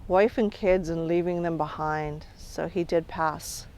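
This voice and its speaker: A middle-aged woman working as a spirit medium, talking calmly outdoors on an island and often trailing off quietly. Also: lowish voice, a little slow. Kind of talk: on-location reality show narration.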